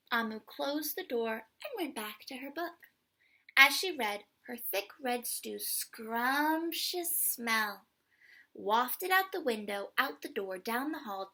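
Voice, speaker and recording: A woman reads a picture book aloud as a children's storyteller. Her voice is bright and fairly high, and she takes her time. She stretches out words and slips in hushed asides to the listener.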